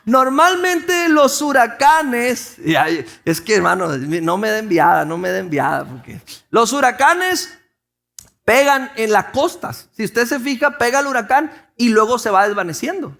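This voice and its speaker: High-voiced Mexican pastor preaching. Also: male voice, expressive, a little slow, creaky, fairly bright tone. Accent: Mexican Spanish, Sonora coast. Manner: conversational, asks the congregation questions, many restarts. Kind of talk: sermon.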